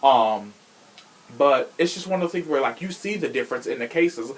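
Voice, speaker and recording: A man's voice: medium pitch, lively intonation, moderate pace. Sassy man chatting on a podcast. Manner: sassy, frank, profane slang. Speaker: podcast host. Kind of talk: casual true crime chat.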